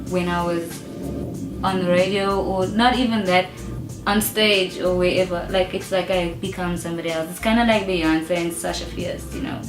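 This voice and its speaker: Outspoken South African woman, roomy echo. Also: on the low side. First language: English. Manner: outspoken and emphatic, with frequent "you know". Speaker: rap artist being interviewed. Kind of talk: casual interview talk. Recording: roomy echo with faint music behind.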